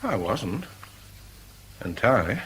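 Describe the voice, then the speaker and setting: A man's voice: fairly low pitch, sing-song, slow pace, a bit bright, heavily acted. An actor in a vintage British radio drama playing an exclamatory, genteel Englishman with a British accent. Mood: puzzled. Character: excitable, puzzled English gentleman husband. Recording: faintly hissy vintage radio drama recording.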